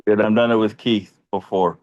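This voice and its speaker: A man's voice: on the low side, steady tone, normal speed, a little mellow, no room sound. Man making brief dry remarks, dull sound. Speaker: podcast producer. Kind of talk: casual chat.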